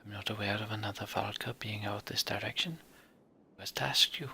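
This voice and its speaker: Male reader as composed female healer-envoy in fantasy game; formal, guarded, crisp forceful edge with some creak. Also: fairly low pitch, steady tone, slight background noise, full character voice. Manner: measured, formal. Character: composed, cautious woman mender, a magic-wielding town envoy.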